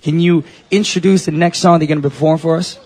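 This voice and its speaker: A man's voice: a little high, steady tone, quick pace, darkish tone, breathy edge. A man hosting a radio show in casual chat, upbeat and bantering. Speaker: radio host. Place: radio broadcast at a university with a live audience.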